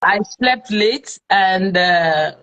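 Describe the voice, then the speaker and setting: A woman's voice: mid-range voice, animated, extremely slow, fairly bright tone, rough recording. A Nigerian woman hosting a live-streamed talk show, chatting with followers and leaning on plenty of fillers. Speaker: host.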